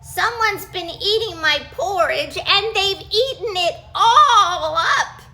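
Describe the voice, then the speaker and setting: A woman's voice: animated, takes it slowly, pushed and bright, slight background noise, full character voice, rough recording. A woman doing a tiny-voiced baby bear. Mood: upset. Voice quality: tiny high voice.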